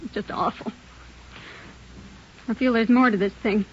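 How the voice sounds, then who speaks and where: A woman's voice: a little high, fairly even intonation, unhurried, lightly acted. A woman playing an anxious, suspicious mother of a young boy in a 1940s radio drama, earnest and pressing. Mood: anxious, insistent. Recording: muffled, hissy old 1940s radio recording.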